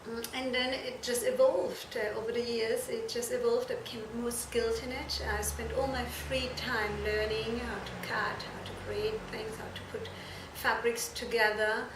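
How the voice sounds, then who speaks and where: A woman from Germany, answering interview questions earnestly and haltingly, correcting herself as she goes. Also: fairly high pitch, little pitch movement. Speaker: fashion and shoe designer being interviewed. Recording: echoey room, distant mic, noisy background.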